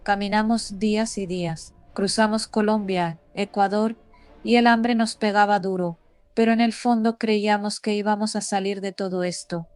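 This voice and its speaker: A woman's voice: medium pitch, fairly even intonation, moderate pace, darkish tone, clear, resonant tone, dry recording. A woman reading a scripted first-person horror story as a narrator. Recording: voice over background music. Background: music.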